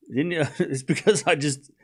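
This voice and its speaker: A man's voice: fairly high pitch, breathy edge. Australian man chatting on a live stream. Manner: relaxed and rambling, reads chat aloud and answers it, swears casually. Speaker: streamer doing live tennis commentary. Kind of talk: casual live-stream chat and play-by-play.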